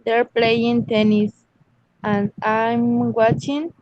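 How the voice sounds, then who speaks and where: A woman student in an online English class, reading a passage aloud slowly and haltingly. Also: on the high side, little pitch movement, a little mellow, highs cut off, slight background noise.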